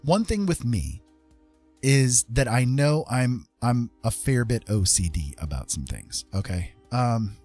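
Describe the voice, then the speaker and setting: A man's voice: mid-range voice, lively intonation, a little slow, a little mellow, soft background music. A man hosting a livestream, chatting casually and personably to viewers in a rambling way. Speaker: livestream host.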